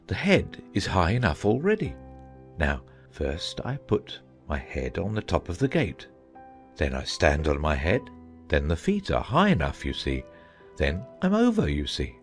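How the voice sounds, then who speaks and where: A man playing a gentle, dotty old knight, earnest and rambling, in a dramatized audiobook. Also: low-pitched, wildly swooping pitch, measured pace, faint music behind, heavily acted. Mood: earnest, thoughtful. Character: gentle, dotty old male knight, solemn muddled inventor.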